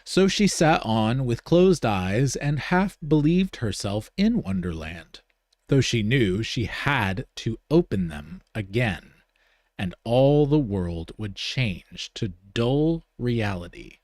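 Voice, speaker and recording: A man's voice: mid-range voice, big pitch swings, slow pace, a little mellow, no room sound, studio quality. A man hosting a podcast, moving between informal, self-correcting talk and reading aloud. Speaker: podcast host. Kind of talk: casual live show talk and reading aloud.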